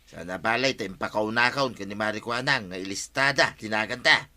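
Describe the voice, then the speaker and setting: Man playing a sharp-voiced, grumbling village husband. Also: lowish voice, fairly quick. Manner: grumbling, insistent. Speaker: actor in an Ilocano comedy drama. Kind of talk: comedy radio drama acting.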